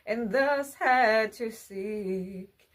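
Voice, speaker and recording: Very slow, high-voiced woman storyteller. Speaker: children's storyteller. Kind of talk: bedtime storytelling for children, partly sung.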